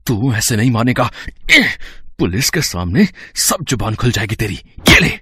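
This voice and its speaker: A man's voice: medium pitch, very animated, normal speed, sharp tone, slightly rough, no echo, exaggerated voice. A man playing a righteous, protective uncle in a cartoon moral story, dramatic and reproachful. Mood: furious. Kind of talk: Hindi animated moral story acting.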